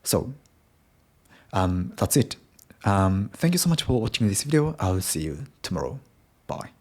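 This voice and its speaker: A Japanese man speaking English with a Japanese accent over a British base, talking alone on his daily podcast in a halting way, often restarting and repeating phrases. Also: fairly low pitch, sing-song, darkish tone, slightly rough. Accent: Japanese-accented English aiming at British. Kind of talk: daily solo podcast talk.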